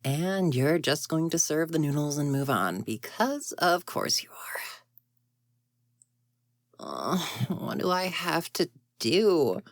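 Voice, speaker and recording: A woman's voice: deep voice, lively intonation, measured pace, few pauses, no echo, clean studio sound. A woman playing an exasperated ghost girl in an audio roleplay, huffy and indignant, often muttering to herself. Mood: grumbling, frustrated. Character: grumpy, exasperated tsundere ghost girl haunting a house.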